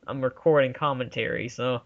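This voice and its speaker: A man's voice: medium pitch, steady tone, brisk. A man chatting casually as co-host of a fan movie commentary, rambling in a laid-back way. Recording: dull lo-fi home recording.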